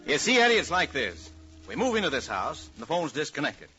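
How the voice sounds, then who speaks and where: An actor playing a blustering, boastful wheeler-dealer, rambling and self-important, in an old radio comedy. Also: male voice, fairly high pitch, wildly swooping pitch, a little fast, pushed and bright, faint music behind, full character voice. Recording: muffled old radio recording.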